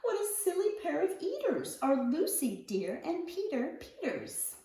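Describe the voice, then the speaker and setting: A woman voicing a sweet, patient but weary mother of many in a storybook, murmuring softly to herself. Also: very high voice, very animated, unhurried, voice pushed up, heavily acted. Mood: amused. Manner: soft chuckling, murmuring, sighing to herself. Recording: roomy echo, distant mic.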